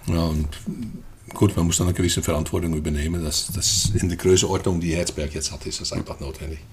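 Older Dutch-accented man, deep and halting, throat-clearing guest in casual chat over light noise. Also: level tone, a little mellow. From Netherlands. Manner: hesitant, many fillers and throat clearing. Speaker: guest, festival co-manager.

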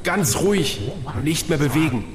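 A man's voice: fairly high pitch, lively intonation, normal speed, background noise, natural voice. A man playing a tense, grimly commanding fugitive in a science-fiction audio drama, speaking in clipped, urgent orders. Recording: echoey hall reverb, loud music.

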